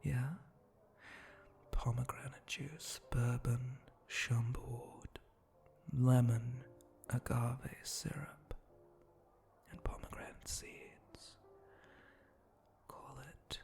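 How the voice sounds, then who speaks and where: A sly, flirty spider-monster bartender acted out by a man murmurs in a mellow, creaky voice for an intimate ASMR roleplay. He takes his time, very slow and teasing, and often slips into a breathy whisper. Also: medium pitch, level tone. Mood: musing, relaxed.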